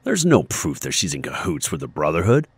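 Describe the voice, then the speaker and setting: An actor playing a cautious, principled weapon inventor in an audio drama, measured and technical, emphatic when he objects. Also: extremely low pitch, huge pitch swings, slightly rough, no echo, full character voice.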